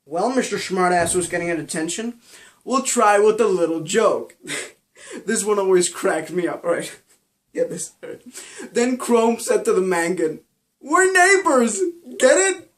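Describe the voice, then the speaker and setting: A man's voice: lively intonation, measured pace. A young man playing a giddy, over-eager teacher. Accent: Danish. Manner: giggly, shrill, bursts into laughter. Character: over-enthusiastic young male teacher, giddy, joke-cracking. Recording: echoey room.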